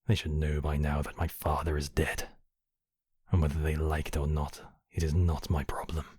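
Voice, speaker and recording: A man voicing a courtly, possessive demon king, menacing yet tenderly protective, in slow, intimate ASMR roleplay with formal, measured phrasing. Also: a bass voice, flat delivery, a little mellow, breathy edge, no echo, clean studio sound. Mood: quietly furious. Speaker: voice actor in a roleplay. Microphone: close microphone, dry.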